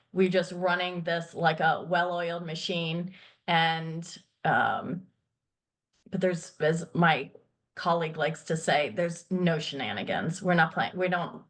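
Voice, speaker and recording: A woman's voice: lowish voice, fairly bright tone. A middle-aged woman, a finance company founder, talking candidly as an interview guest, laughing easily and using many fillers. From grew up in Thailand and England. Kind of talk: casual interview chat.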